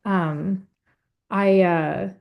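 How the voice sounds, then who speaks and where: Earnest woman, halting speech. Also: mid-range voice, fairly even intonation, vocal fry, highs cut off. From United States. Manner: earnest, halting, many fillers and restarts. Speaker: panelist. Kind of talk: webinar testimony.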